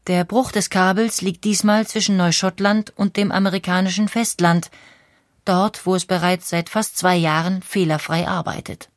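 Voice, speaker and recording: A woman's voice: fairly low pitch, steady tone, normal speed, dry recording, clean studio sound. A woman narrating a historical audio documentary from a script in a factual, articulate manner.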